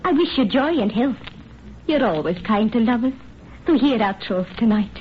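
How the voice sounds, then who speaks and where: An actress playing a passionate, superstitious young Irish sweetheart in dramatic old-time radio melodrama. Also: female voice, fairly high pitch, expressive, moderate pace, natural voice. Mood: solemn, insistent. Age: young adult. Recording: muffled, noisy vintage radio recording.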